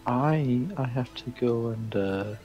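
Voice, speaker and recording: A man in a casual voice chat, explaining things in a rambling, halting way. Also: mid-range voice, mellow, dull audio. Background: faint music.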